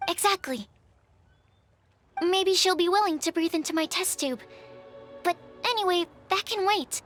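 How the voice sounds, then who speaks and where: A young game alchemist with a very high, girlish voice. She is earnest, shy and caring, a little hesitant, with music behind her. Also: unhurried, a bit bright. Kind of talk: scripted video game voice acting.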